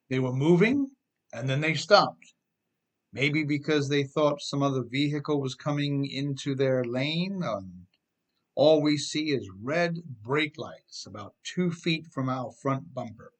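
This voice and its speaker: A man's voice: mid-range voice, expressive, measured pace. A man reading scripted instructional narration as the author, addressing listeners directly and with emphasis. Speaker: author reading own advice book on driving.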